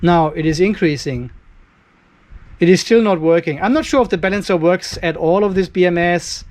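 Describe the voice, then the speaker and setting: A man talks through a repair job in his garage workshop and thinks out loud as he goes. His voice sits a little high for a man. The handheld camera is held close to him. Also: moderate pace. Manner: explains step by step while working, thinking aloud. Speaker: channel host. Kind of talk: hands-on workshop demo. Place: cluttered garage workshop with a metal roof.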